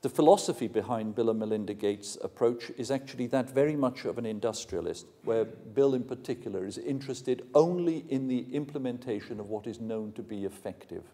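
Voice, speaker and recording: A man's voice: mid-range voice, fairly quick, hardly any pauses, dark tone. A man in his sixties from Wales, giving a frank, plain-spoken public lecture and answering questions in a large hall. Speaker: university lecturer. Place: large ornate hall, indoors.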